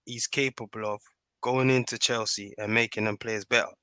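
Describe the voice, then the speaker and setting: A man's voice: on the low side, steady tone, normal speed, sharp tone, clear, resonant tone, no room sound. A man in a casual football debate, putting questions to others and chiming in with agreement. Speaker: podcast panellist.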